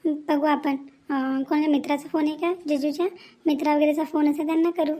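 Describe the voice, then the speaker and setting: A woman acting a young village woman in a cartoon family drama. Her voice is high, and she talks in an earnest, chatty, practical way. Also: little pitch movement, brisk, dark tone, lightly acted. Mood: reassuring.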